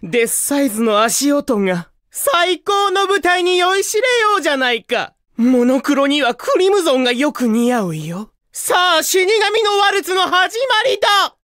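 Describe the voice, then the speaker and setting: A man's voice: very high-pitched, sing-song, normal speed, few pauses, pushed and bright, exaggerated voice. A man voicing a gloomy, theatrical young demon villain in a video game, brooding and poetic, with grandiose melodrama. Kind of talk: Japanese video game character voice clips.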